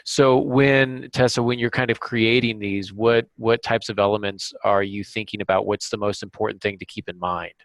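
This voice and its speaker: A man hosting a podcast, chatting casually and thinking aloud in a halting way. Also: fairly low pitch, steady tone, no room sound, poor audio. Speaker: podcast host.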